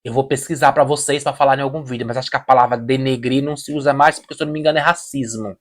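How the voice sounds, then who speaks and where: A man's voice: medium pitch, fairly even intonation, racing. A man from Brazil with a Brazilian Portuguese accent, hosting a reaction video and giving emphatic opinions, often repeating phrases and restarting sentences. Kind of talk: casual opinion commentary.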